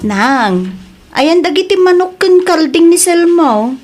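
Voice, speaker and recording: A woman's voice: extremely high pitch, big pitch swings, slow pace, loud music behind the voice, heavily acted. A woman with a northern Philippine accent playing an aggrieved adult daughter accusing her parents in an audio drama.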